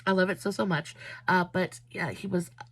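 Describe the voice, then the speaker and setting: Hoarse, chatty woman with a cold. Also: lowish voice, fairly even intonation, no room sound. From Southern United States. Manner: warm, effusive, drawn-out emphatic phrasing. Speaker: beauty vlogger. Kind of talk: chatty makeup vlog with storytelling.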